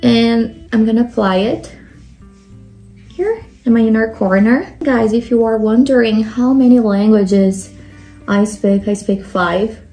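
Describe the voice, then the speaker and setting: A woman's voice: on the high side, measured pace, warm tone, soft background music, rough recording. A young woman from Brazil with a Brazilian Portuguese accent, talking through a makeup tutorial step by step and often saying "like". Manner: step-by-step explaining, frequent "like" fillers. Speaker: makeup vlogger.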